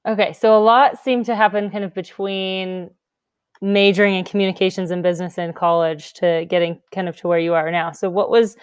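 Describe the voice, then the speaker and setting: A woman's voice: medium pitch, fairly even intonation, brisk, clear, resonant tone, no echo, studio quality. A woman hosting a podcast interview, prompting her guest with encouraging questions and frequent laughter. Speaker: podcast host.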